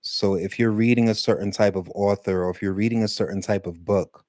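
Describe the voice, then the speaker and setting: A man teaching English to adult learners in a loose, rambling talk, full of fillers and slowing down to stress key points. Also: fairly low pitch, steady tone, a little mellow, no echo, studio quality. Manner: conversational, many fillers, speeds up and slows for emphasis. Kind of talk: casual rambling lesson talk.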